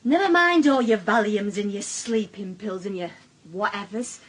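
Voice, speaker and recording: An actress playing a fed-up, put-upon Northern English wife in a radio drama, blunt, weary and complaining. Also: female voice, medium pitch, moderate pace, husky, natural voice, low-quality recording. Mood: defiant, wry. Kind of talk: naturalistic radio drama acting.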